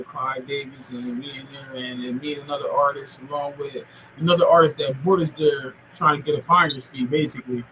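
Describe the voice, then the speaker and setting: A man's voice: fairly high pitch, sharp tone, slight background noise, poor audio. A man in his forties hosting a podcast in casual, rambling, slangy and profane talk. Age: 40s. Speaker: podcast host. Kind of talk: casual interview chat.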